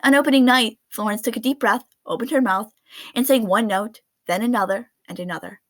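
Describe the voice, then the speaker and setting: A woman reading a children's picture book aloud in an expressive storytelling style. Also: on the high side, brisk, no echo. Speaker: read-aloud narrator of children's books.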